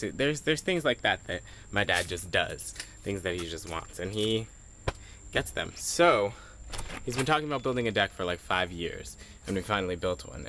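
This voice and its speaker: Low-voiced teenage guy rambling on camera. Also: pushed and bright, slight background noise. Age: teens. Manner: rambling, chatty, laughs at himself. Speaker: high school senior vlogger. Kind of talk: casual video diary. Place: at home, partly outdoors on a deck. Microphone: handheld camera.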